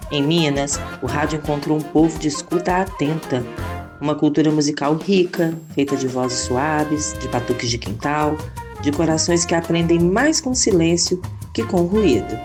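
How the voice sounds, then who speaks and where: A Brazilian woman narrates a scripted documentary in a low voice. Her phrasing is lyrical and measured, set over clearly audible instrumental music.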